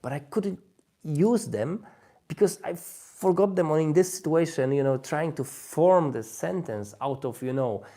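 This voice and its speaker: Halting Polish-accented man. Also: fairly high pitch, dark tone. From Poland. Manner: halting, repeats and rephrases phrases. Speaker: host, language learner giving advice. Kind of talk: informal livestream talk on language learning.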